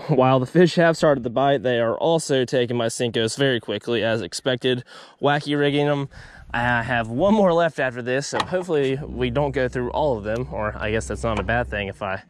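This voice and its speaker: A man's voice: medium pitch, a little hiss or hum. Upbeat young man fishing from a boat. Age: young adult. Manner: upbeat, chatty, talks to viewers while fishing. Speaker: fishing vlogger. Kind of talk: casual vlog commentary. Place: outdoors on a small boat on a pond. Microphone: action camera on the boat.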